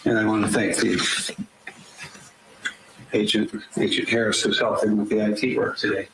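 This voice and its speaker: A man's voice: on the low side, level tone, moderate pace. A man speaking as a defense attorney in court, polite and formal toward the judge. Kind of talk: courtroom address. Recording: echoey courtroom audio with noise.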